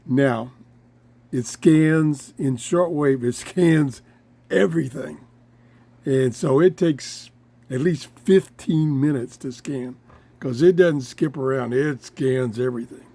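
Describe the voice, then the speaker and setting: A man hosting a casual product demonstration, talking in halting, piecemeal phrases with pauses to think. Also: medium pitch, vocal fry, dark tone, dry recording. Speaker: host of a radio gear review show.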